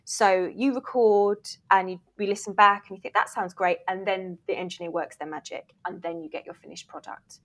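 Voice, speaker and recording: A woman with a medium-pitched voice chats as an expert guest. She is candid and animated, leaning on key words for emphasis. Her explanations are informal and loosely structured, with self-interruptions. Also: normal speed, few pauses. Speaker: voice reel producer, interview guest. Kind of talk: casual, chatty explanation.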